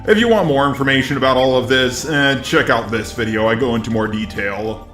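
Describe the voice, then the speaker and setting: Robot-voiced male commentator, synthetic and self-deprecating, medium pitch, echoey sound with faint music behind. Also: brisk, fairly bright tone. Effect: text-to-speech robot voice. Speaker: YouTube commentator responding to criticism.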